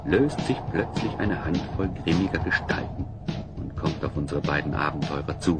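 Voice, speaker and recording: A man's voice: rumbling low, normal speed. A man playing the solemn narrator of a fantasy audio drama, telling the tale in a theatrical, scripted style. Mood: dramatic, solemn. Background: music.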